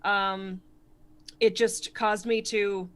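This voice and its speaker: Connecticut lady comic, quick and wordy, bright clear voice, laughs a lot. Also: medium pitch, flat delivery. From Connecticut, USA. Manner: fast, articulate, self-deprecating, laughs often. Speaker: stand-up comedian, guest. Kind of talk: casual interview.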